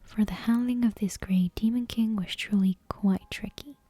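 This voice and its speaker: A woman's voice: medium pitch, fairly even intonation, dry recording. Whispering woman reading a bedtime story. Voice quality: soft, breathy unvoiced whisper. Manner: hushed, deliberate storybook narration. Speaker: ASMR storyteller. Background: soft game soundtrack music.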